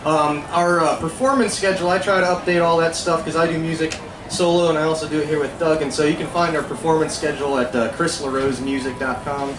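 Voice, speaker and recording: A man's voice: a little high, fairly even intonation, fast talker. A man co-hosting a live festival talk, a folk musician plugging his shows. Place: seminar tent at an outdoor festival. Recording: roomy, distant mic with crowd noise.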